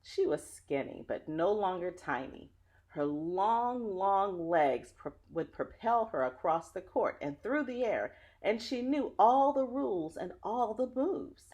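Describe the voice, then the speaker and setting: A woman's voice: medium pitch, lively intonation, unhurried, a little mellow. A woman reading a children's story aloud like a teacher, with dramatic phrasing and an engaging way of addressing young listeners.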